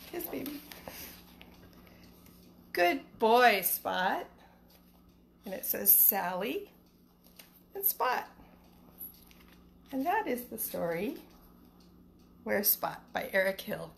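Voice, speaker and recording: A woman's voice: fairly high pitch, big pitch swings, slow pace, creaky, pauses often. A middle-aged woman, a teacher chatting casually and reading a picture book to children from home. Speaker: elementary school teacher reading storytime. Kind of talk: casual livestream chat and picture-book read-aloud for children. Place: small living room at home, indoors. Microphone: phone at arm's length. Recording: some background noise, home phone recording.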